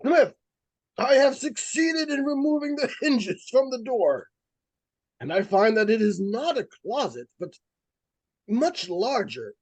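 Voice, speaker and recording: Pompous male paranormal investigator, played by an actor for a radio suspense play: high voice, intent, stately diction, close dry sound. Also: very animated, darkish tone, no echo, heavily acted. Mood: grave, excited. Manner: formal, deliberate, portentous. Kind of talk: old-time radio suspense acting.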